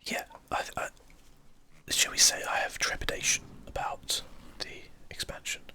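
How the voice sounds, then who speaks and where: A whispered ASMR commentary over gameplay, almost entirely unvoiced. The whisper is breathy and very bright, with a sharp, airy edge. The speaker muses aloud and takes time over each thought. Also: moderate pace. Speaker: host of a game play channel.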